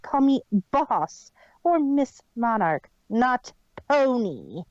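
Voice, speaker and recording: A woman's voice: fairly high pitch, very animated, very slow, a little mellow, no room sound, dull audio, full character voice. A woman voicing a cold, imperious female villain pony in a storybook reading, haughty and snarling.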